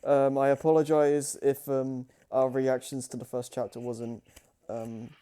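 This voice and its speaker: A man with a mellow, smooth voice at medium pitch co-hosts a casual stream. He sounds tired and speaks tentatively, often halting mid-thought. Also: level tone. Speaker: co-host. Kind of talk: casual livestream chat.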